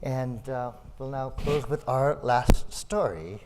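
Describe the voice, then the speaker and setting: A man's voice: medium pitch, slow pace, background noise. A man speaking to an audience as a live storyteller and performer. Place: community hall performance.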